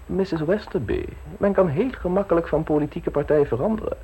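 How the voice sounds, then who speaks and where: An actor playing a suave, ambitious gentleman politician in an old radio play, polished, self-assured and teasingly frank. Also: a little high, lively intonation, brisk, phone-quality sound, a little hiss or hum. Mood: assured, scheming.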